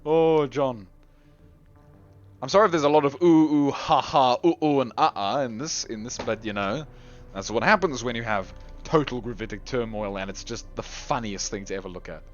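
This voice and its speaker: A man giving chatty live commentary on a game tournament, his voice going hoarse. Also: medium pitch, normal speed. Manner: chatty, rambling, lots of restarts and laughs. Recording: dull lo-fi recording with faint music.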